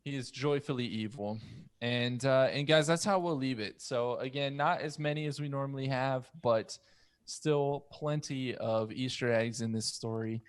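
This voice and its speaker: A man's voice: mid-range voice, normal speed, clear, resonant tone, no echo, studio quality. A man in his thirties hosting a casual roundtable discussion on a podcast. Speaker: podcast host. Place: home room, indoors. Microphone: close podcast mic on video call.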